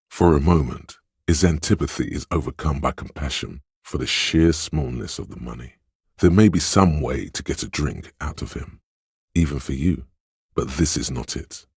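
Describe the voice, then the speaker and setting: An actor voicing a sly inner voice in a video game, reading people and coaching in a measured, knowing, insinuating way with a gravelly, husky delivery. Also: male voice, rumbling low, darkish tone, dry recording, studio quality, exaggerated voice. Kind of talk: scripted video game narration.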